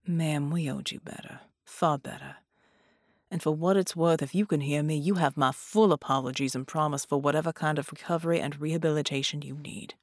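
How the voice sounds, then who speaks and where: A woman voicing a wary, guilt-ridden superhero man in audiobook dialogue, speaking low and courteously. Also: fairly even intonation, brisk, no room sound, studio quality. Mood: regretful. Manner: low, hushed, formal and courteous.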